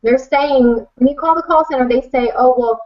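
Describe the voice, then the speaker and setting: A woman's voice: high-pitched, moderate pace. A woman speaking emphatically and frankly as a podcast guest, giving a casual personal account. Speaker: animal rescue advocate, podcast guest. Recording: dull lo-fi podcast recording.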